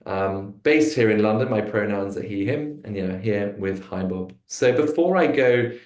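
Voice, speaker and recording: A man's voice: lowish voice, expressive, normal speed, darkish tone. A man hosting a business webinar over a video call, hesitant with many fillers and restarts. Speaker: webinar host. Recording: echoey room.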